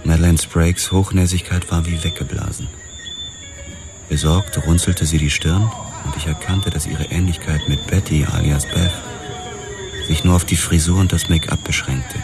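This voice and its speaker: An actor narrating a noir radio drama as a weary, observant police detective, cool and detached. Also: male voice, a bass voice, steady tone, normal speed, vocal fry. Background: music.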